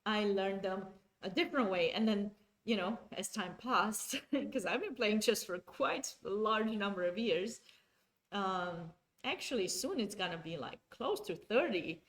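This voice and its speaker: A woman's voice: mid-range voice, lively intonation, a little slow. A woman, commentating a chess game live and chatting with viewers, often restarting her sentences. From Romania. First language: Romanian. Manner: chatty, self-correcting, talks to chat.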